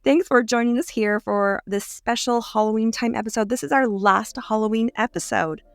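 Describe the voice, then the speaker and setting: A woman co-hosting a podcast in bubbly casual conversation, her voice slightly hoarse from illness. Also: on the high side, moderate pace, dry recording. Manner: bubbly, enthusiastic storyteller. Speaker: podcast co-host. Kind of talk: casual chat.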